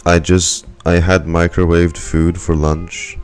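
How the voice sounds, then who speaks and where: A man's voice: low-pitched, flat delivery, slow, soft background music. A young man giving casual, slangy game reaction commentary as a streamer, with muttered asides.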